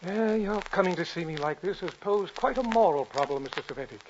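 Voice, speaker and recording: A fussy, wavering old professor. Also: male voice, high voice, animated, a little fast. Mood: hesitant. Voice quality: wavering, hesitant. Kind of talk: 1950s radio drama acting. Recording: dull old radio broadcast with hiss.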